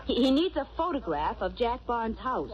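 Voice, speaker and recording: An actress playing a brisk, wry, loyal detective's assistant, in 1940s radio drama style. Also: female voice, a little high, very animated. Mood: brisk, efficient. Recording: noisy, muffled old radio recording.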